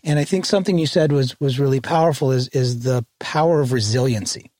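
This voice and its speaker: A man's voice: medium pitch, fairly quick, darkish tone. Friendly inquisitive man interviewing. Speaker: interviewer host.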